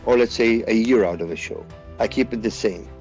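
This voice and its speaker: A man's voice: on the low side, a little fast, a little mellow, lo-fi sound, soft background music. A man in his forties from Iraq, a pro bodybuilder guest in a podcast interview, talking animatedly with lots of fillers and restarts. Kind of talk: casual interview talk.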